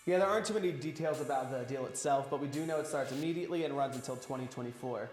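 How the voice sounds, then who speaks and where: A man's voice: mid-range voice, rapid-fire, a little mellow, echoey room, faint music behind. A young man co-hosting gaming news in a loose, jokey manner with fillers. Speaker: news co-host. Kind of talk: casual news banter.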